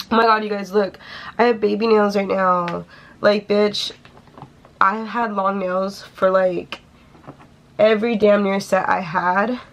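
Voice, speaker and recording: Young woman, gravelly, casual makeup chat, lots of swearing, small room. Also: mid-range voice, a bit bright, slight background noise. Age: young adult. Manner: chatty, swears a lot. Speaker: beauty vlogger.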